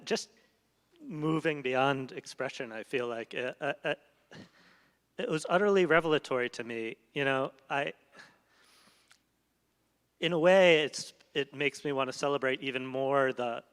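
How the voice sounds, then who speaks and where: A man speaks reflectively on a stage mic in a large hall, pausing to find his words. His voice is smooth and resonant, of medium pitch. The sound carries a long hall reverb. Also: fairly bright tone. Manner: thoughtful, often searching for words. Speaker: translator in a post-reading conversation. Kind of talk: reflective onstage talk.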